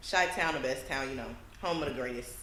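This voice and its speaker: A woman's voice: a bass voice, fairly quick. A young woman from Chicago, a student giving proud, earnest interview answers. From Chicago, USA. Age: young adult. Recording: roomy with background noise.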